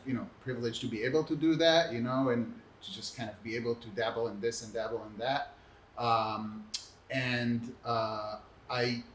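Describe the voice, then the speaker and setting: A middle-aged man speaks informally and reflectively about his own career, often halting as he gathers his words. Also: medium pitch. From Israel. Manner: hesitant, reflective, halting phrasing. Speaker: art and technology academic, panel guest. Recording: echoey room, faint background noise.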